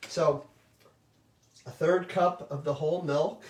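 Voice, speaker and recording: A middle-aged man, hosting a live virtual cooking class and chatting loudly and warmly to his viewers. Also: a little high, fairly even intonation, slow, echoey room, recorded from a distance. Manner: loud, chatty, talks straight to viewers.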